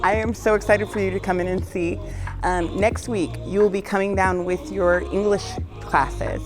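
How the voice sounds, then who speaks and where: A woman's voice: mid-range voice, level tone, normal speed, over music. A woman who is the school librarian, giving friendly but firm rules to students in a school announcement video.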